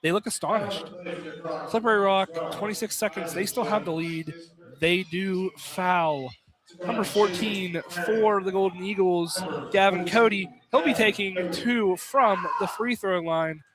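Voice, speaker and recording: A man calling live college basketball play-by-play on radio in an excitable, exclamatory way from inside a gym. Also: high voice, measured pace, crowd noise. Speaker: play-by-play announcer. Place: indoor college field house during a game. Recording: noisy live gym broadcast.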